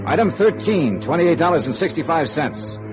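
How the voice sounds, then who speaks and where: A male actor plays a hard-boiled private investigator from old radio noir. He is brisk and tough, with clipped phrases that swing widely in pitch. It sounds like a dull vintage broadcast recording. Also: animated, over loud music, close to an everyday voice. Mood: brisk, businesslike. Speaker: lead actor and first-person narrator. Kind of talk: 1950s radio noir acting.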